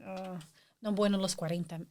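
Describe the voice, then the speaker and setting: A woman's voice: mid-range voice, steady tone, normal speed. Chatty middle-aged woman host. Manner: searching for words, warm and chatty with frequent restarts. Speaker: podcast host. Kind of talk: casual interview chat.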